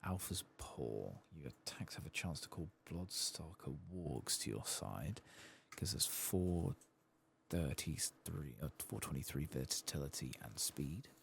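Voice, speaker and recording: A soft-spoken man giving casual ASMR game commentary, muttering as he thinks aloud, close to the microphone. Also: a bass voice, animated, measured pace, creaky, slight background noise. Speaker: host of a gameplay channel.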